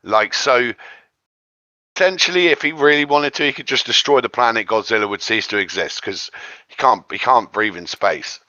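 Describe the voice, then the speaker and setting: A man with a bright, forceful voice talks casually as a co-host of a geek discussion show. He rambles through emphatic opinions in an informal way. The recording is dry and slightly lo-fi. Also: mid-range voice, normal speed, dry recording. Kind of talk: casual chat about comic-book fiction.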